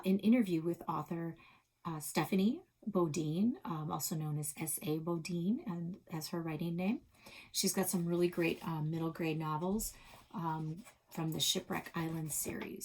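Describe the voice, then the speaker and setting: A woman narrating a children's story expressively as a library read-aloud host. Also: lowish voice.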